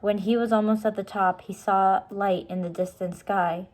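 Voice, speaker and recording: A woman's voice: mid-range voice, fairly even intonation, moderate pace, darkish tone. A woman reading a children's storybook aloud, in simple, explanatory style for young listeners.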